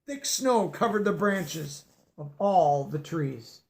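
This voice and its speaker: High-voiced man reading a storybook. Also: gravelly. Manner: expressive, pauses to explain words to children. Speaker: storybook reader. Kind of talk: reading a picture book aloud.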